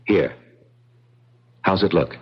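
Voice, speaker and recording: A man's voice: low voice, slow pace, breathy edge, exaggerated voice. An actor playing an American visitor in a radio drama, a skeptical man with an American accent and a casual, slightly hesitant manner. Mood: playful, eager. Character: American visitor, jovial yet uneasy, evasive skeptical cousin. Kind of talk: 1970s radio drama acting. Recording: dull old radio recording.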